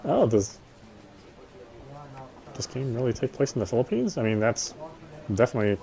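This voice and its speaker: A man's voice: medium pitch, big pitch swings, moderate pace, creaky, warm tone, rough recording. A man reading a visual novel's narration aloud with casual asides, sometimes stumbling and rereading.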